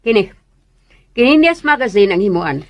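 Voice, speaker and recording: A woman's voice: medium pitch, expressive, moderate pace, noisy background, natural voice. A woman playing a haughty, menacing mistress who gives imperious orders in a Cebuano radio drama. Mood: commanding. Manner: imperious, threatening, commanding.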